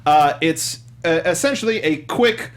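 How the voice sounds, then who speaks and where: Man hosting, slightly high voice. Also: animated, a little slow. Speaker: host of a film discussion show. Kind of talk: casual hosting with prepared notes. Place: small indoor podcast studio. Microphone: close studio mic with foam cover.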